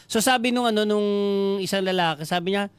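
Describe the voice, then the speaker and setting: A man's voice: sits high, fairly quick, clear, resonant tone, no room sound. A man with a Filipino accent telling comic stories on a radio show in a chatty, teasing way, acting out the dialogue. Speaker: radio show storyteller and jokester. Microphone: close studio microphone.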